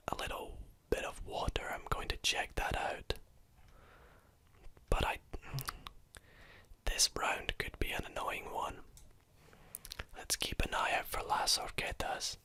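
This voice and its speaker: A host whispering close to the mic while playing a map-guessing game, softly thinking aloud. The delivery is unhurried and drawn out. The whisper has a crisp, bright edge. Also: very high-pitched. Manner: soft, musing, thinks aloud while exploring. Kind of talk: whispered ASMR gameplay commentary.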